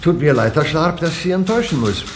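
Abweisender Ministerialrat, stark wechselnde Tonhöhe. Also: male voice, fairly high pitch, sing-song, quick pace. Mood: dismissive, warning. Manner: official, curt, discouraging. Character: dismissive, dry senior ministry official. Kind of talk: German radio drama acting. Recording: faintly noisy radio play.

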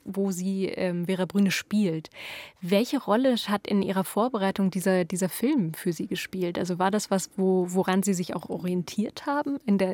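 A woman's voice: medium pitch, darkish tone, no room sound. A woman working as an interviewer, asking thoughtful, discursive questions.

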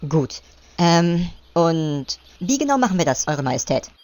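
A woman's voice: fairly low pitch, sing-song, a little slow, a little mellow. A woman voicing a servile, dim gnome adviser, hesitant and mock-deferential, in an amateur parody audio play.

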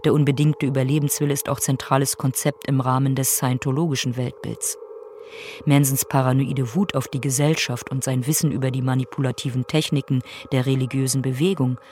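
A man reading scripted documentary narration in a sober, measured, matter-of-fact way. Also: medium pitch, little pitch movement, a little mellow, slight background noise.

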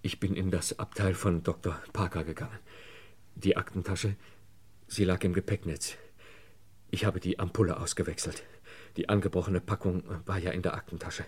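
An actor playing a desperate, broken man under police questioning in a radio crime play, halting and pleading. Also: deep voice, fairly even intonation, slightly rough. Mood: broken, despairing. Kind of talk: German radio crime play acting, 1950s to 80s.

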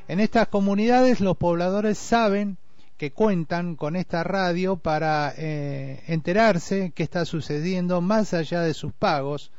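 A man's voice: a little high, a little mellow, no room sound. An Argentine man presenting a community radio programme from partly scripted notes. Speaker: radio host. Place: radio studio. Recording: dull radio audio with music bed.

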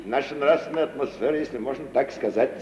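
An actor playing a pompous provincial gentleman who flatters in a florid, sugary radio comedy manner. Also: mid-range voice, fast, close to an everyday voice. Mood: gushing. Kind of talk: 1950 Russian stage-comedy radio acting. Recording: echoey hall, muffled noisy old radio recording.

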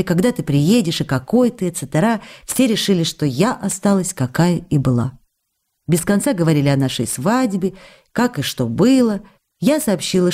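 An actress playing a young newlywed woman in a radio play, reading out her letters with affectionate, animated longing for her husband. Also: lowish voice. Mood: amused. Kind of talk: dramatic reading of intimate letters.

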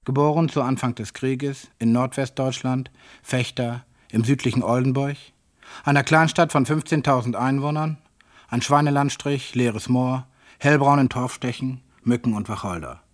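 A man's voice: medium pitch, steady tone, normal speed. A man reciting an autobiographical monologue in terse, bitter fragments.

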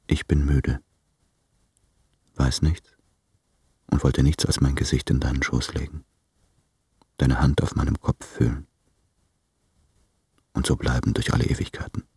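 Very deep, husky, lovesick man. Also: little pitch movement, a little slow, long pauses, warm tone, no room sound, studio quality, exaggerated voice. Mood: weary, yearning. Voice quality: husky, breathy. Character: weary, lovesick man addressing his distant beloved. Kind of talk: intimate literary radio play monologue.

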